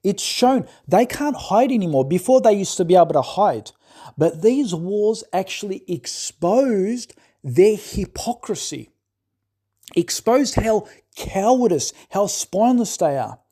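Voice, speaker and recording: A man's voice: high-pitched, very animated, unhurried, dark tone. A man in an impassioned, scolding monologue who keeps asking "right?". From Australia. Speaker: commentator.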